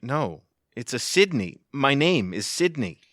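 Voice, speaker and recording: A man's voice: medium pitch, very animated, a little slow, fairly bright tone, no echo, clean studio sound. An actor playing a sleazy, boastful male flirt in a comedic audio play, smarmy and cocky. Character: sleazy, boastful male flirt, a self-important ladies' man. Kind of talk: comedic audio theatre acting.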